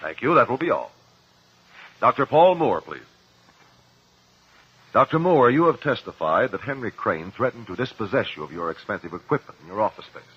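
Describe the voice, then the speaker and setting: An actor in a 1950s radio drama playing a principled cross-examiner, measured, articulate and pressing. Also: male voice, medium pitch, big pitch swings, husky. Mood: probing. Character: probing, principled male courtroom cross-examiner. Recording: muffled vintage 1950s radio recording.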